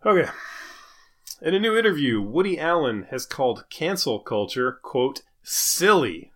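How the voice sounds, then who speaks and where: A man's voice: a little high, big pitch swings, unhurried. A man co-hosting a comedy podcast in casual banter, with dry mock-serious irony and a hesitant, self-interrupting delivery. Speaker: podcast co-host. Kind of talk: casual comedy banter, reading joke news items.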